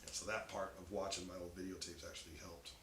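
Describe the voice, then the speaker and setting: A man with a raspy voice, a stand-up comic telling stories in a casual chat with lots of laughter. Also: deep voice, fairly even intonation, fast. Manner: loose, laughing storyteller. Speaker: stand-up comedian, guest. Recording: echoey room, faint noise.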